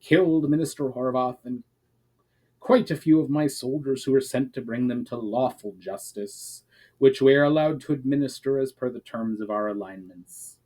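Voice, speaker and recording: A man's voice: medium pitch, normal speed, mellow, natural voice. Man voicing a haughty, defiant villainous commander. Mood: indignant. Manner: indignant, formal, demanding.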